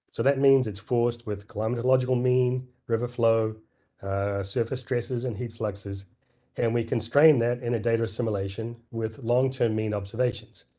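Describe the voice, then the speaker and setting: A middle-aged man presenting at a scientific conference, hoarse and clearing his throat, with many fillers and restarts. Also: medium pitch, steady tone, moderate pace, mellow.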